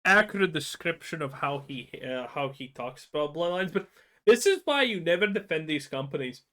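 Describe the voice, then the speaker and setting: Streamer guy, high animated voice, blunt, swears, casual reaction talk. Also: moderate pace. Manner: blunt, profane, heated opinion.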